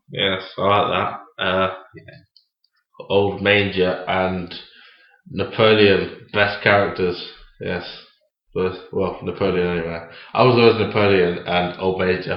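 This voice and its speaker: A young man co-hosting a casual podcast, chatting in an animated way and slipping into playful voices. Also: deep voice, measured pace. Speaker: podcast co-host. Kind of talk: casual chat. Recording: echoey room, dull lo-fi sound.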